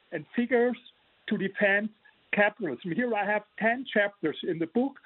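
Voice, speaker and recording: A man's voice: high voice, no room sound. A man from Germany with a German accent, an author explaining his views in an earnest way with some restarts, heard over a remote line. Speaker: author guest. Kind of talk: remote interview. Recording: tinny phone-like line.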